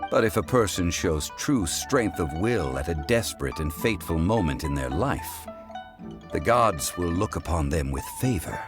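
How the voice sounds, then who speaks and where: An ancient god walking the earth as a dignified mortal gentleman. His deep voice is measured and courtly, with lively rises and falls. It is video game dialogue, with soft music behind it. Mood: grave, explanatory. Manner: measured, formal, courtly and reflective. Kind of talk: scripted game cutscene voice acting.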